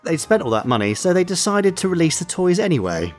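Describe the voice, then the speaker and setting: A man's voice: sits high, very animated, fast talker, soft background music. A man hosting a podcast, telling trivia in a chatty, playful, bantering way. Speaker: podcast host. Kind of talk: chatty trivia segment, semi-scripted storytelling.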